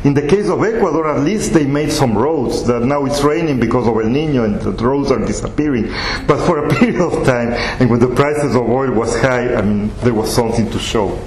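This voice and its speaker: A man giving a guest lecture, explaining in a measured way with slightly non-native phrasing, then answering questions from the audience. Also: mid-range voice, a little mellow. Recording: echoey hall, faint room noise.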